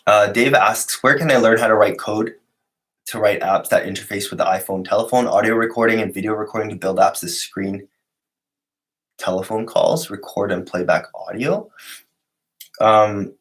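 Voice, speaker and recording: A man with a cold hosting a casual live-stream Q&A, reading out viewer questions and answering in a relaxed, rambling way with lots of fillers and restarts. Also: lowish voice, normal speed. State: sick, voice lower than usual.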